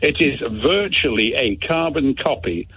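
A man's voice: mid-range voice, sing-song, unhurried, pushed and bright, husky. A man calling a radio show who argues combatively and lectures the host. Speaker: caller. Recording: tinny phone line.

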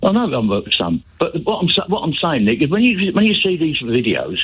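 Raspy-voiced older man calling in. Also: fairly high pitch, fast, phone-quality sound. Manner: blunt, animated, repeats himself. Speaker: caller. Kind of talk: phone-in radio call. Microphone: telephone.

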